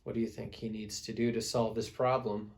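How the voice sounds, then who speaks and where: A man's voice: fairly low pitch, flat delivery. A man reading a picture book aloud to children as a storyteller, with dramatic emphasis. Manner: dramatic emphasis, pauses to prompt listeners.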